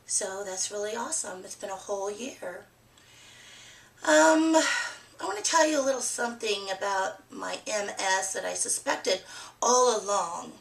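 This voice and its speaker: Hoarse woman vlogging with a roomy echo. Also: mid-range voice, unhurried, far from the mic. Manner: chatty, candid, laughs easily. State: hoarse from a cold. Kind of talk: casual personal vlog. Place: small room with a plain green wall.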